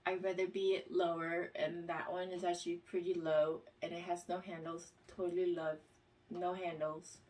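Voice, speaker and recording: A woman vlogger reads questions aloud and answers them in a casual, halting way, with plenty of pauses and fillers. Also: fairly low pitch, flat delivery, darkish tone, distant mic, low-quality recording. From United States.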